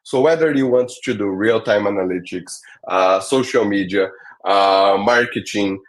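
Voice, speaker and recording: A man's voice: on the low side, a little slow. A man hosting a technical webinar, talking through slides with frequent fillers and "all right?" check-ins. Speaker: webinar host. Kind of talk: presenting a tech webinar.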